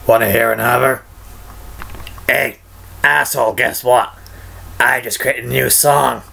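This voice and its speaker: A crude, sardonic undead wasteland ghoul in a video game style. The voice is shredded into heavy gravel, with a hard, forceful edge. The words come slowly and drawn out, soaked in bitterness. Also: male voice, medium pitch, steady tone, heavily acted. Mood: sly, chatty. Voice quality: raspy, throat-grinding gravel. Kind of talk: video game character impressions, voice reel.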